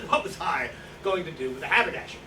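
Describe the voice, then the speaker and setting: A man's voice: a little high, animated, close to an everyday voice. Man acting boastful old writer, swooping voice, echoey. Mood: defensive, boastful. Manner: rambling, halting, self-justifying. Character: boastful, defensive, hard-drinking old male writer, bedridden. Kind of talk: staged play reading. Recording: distant echoey room, noisy draft recording.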